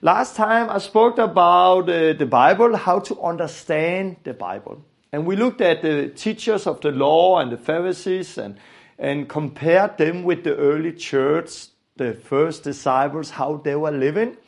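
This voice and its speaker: A man with a Danish accent delivers a religious lesson in a fairly high voice with a lot of vocal fry. He is earnest in his teaching and often repeats phrases to stress them. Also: a little slow. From Denmark. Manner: earnest, repetitive phrasing for emphasis.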